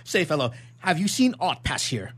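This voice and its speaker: A man's voice: on the high side, sing-song, moderate pace, husky. A man playing an anguished, vengeful scientist in melodramatic, declamatory radio theatre style. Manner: declamatory, grandiose, archaic diction. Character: vengeful, anguished young scientist hunting his demon.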